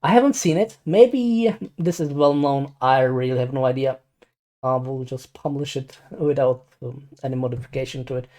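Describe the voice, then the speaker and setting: A man's voice: medium pitch, dark tone. A man with a Czech accent explaining casually and unscripted as an amateur, rambling hesitantly with repeated words and apologies. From Czech Republic. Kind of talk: casual unscripted screen tutorial.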